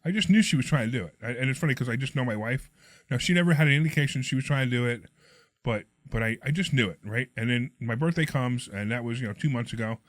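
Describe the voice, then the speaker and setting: Guest: middle-aged man, froggy hoarse voice, animated quick storyteller, dry close sound. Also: medium pitch, no room sound. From Pennsylvania. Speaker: podcast guest, real estate investor. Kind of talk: casual storytelling chat.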